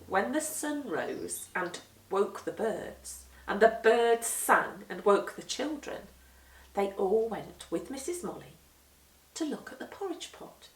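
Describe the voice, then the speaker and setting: A woman reading a children's picture book aloud, with deliberate, animated and emphatic storytelling. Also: medium pitch. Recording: roomy echo, distant microphone.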